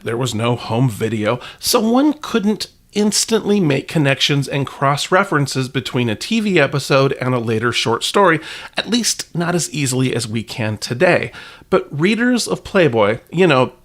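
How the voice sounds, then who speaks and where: A man's voice: a little high, big pitch swings, moderate pace, fairly bright tone. A man hosting a podcast, reading scripted narration in a wry way with playful asides. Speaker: podcast host.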